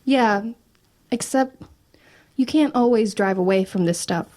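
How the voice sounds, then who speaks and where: A wry teenage girl, played by a woman in an audio drama. She has a slightly high voice and talks in a casual, dry way, like a guarded but protective older sister. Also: unhurried, warm tone, natural voice. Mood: reassuring.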